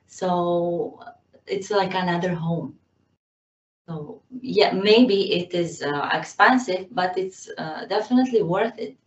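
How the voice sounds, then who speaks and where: A woman's voice: mid-range voice, recorded from a distance, highs cut off. Hesitant woman in an echoey room. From Serbia. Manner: hesitant, many fillers, laughs often. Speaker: violin teacher. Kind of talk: casual interview answers.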